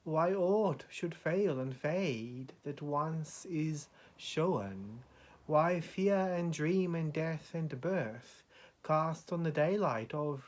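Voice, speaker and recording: A man with a somewhat high voice recites verse at an extremely slow pace. His delivery is solemn and dramatic, each phrase set apart by a deliberate pause. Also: expressive. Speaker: poetry reader.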